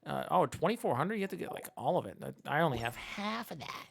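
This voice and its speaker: A man's voice: fairly high pitch, big pitch swings, fast talker, gravelly, husky. A man giving casual, chatty, playful commentary while live streaming a video game. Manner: chatty, playful, muttering nonsense syllables.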